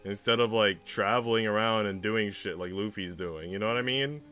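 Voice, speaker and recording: A man's voice: on the low side, normal speed, fairly bright tone, clean tone, faint music behind. A man live-streaming as he reads a visual novel aloud and chats casually in slangy, mildly sweary language. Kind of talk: casual live-stream chat mixed with read-aloud narration.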